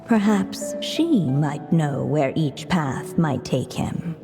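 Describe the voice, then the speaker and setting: A woman playing a gentle storybook narrator, telling a fairy tale in measured, lyrical phrases with reverb. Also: low voice, expressive, over music, heavily acted. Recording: long reverb on the voice.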